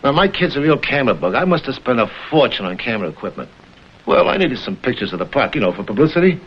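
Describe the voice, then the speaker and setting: A man plays a worried amusement park owner in an old TV drama. He talks quickly in a brusque, businesslike way. The vintage soundtrack is dull and a little noisy. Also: medium pitch, big pitch swings, close to an everyday voice. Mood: matter-of-fact. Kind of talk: vintage screen drama acting.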